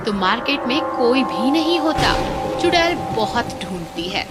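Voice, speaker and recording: A woman narrates a cartoon horror tale in a high, lively voice, rising and falling with drama. Loud music runs under her narration the whole time. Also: measured pace. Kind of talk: scripted storybook narration.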